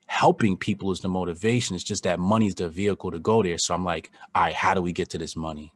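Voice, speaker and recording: A man's voice: low voice, fairly even intonation, quick pace, no room sound. A man speaking as a podcast guest, earnest and reflective as he talks from personal experience. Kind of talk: candid conversation.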